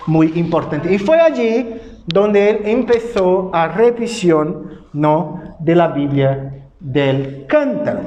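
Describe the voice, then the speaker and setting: A man with a distinctly high-pitched voice and a Brazilian accent speaks as a native Portuguese speaker. He lectures like a pastor teaching his congregation, informal and didactic. He keeps punctuating his explanations with short checking questions. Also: darkish tone, dull audio. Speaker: pastor giving a church history lecture.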